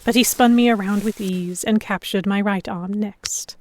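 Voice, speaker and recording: A woman's voice: mid-range voice, fairly even intonation, moderate pace, darkish tone, close to an everyday voice. A woman playing a defiant, sheltered young lady held by pirates, narrating an audio drama in first person with articulate, literary phrasing. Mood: tense, alarmed. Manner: articulate, literary, reflective storytelling.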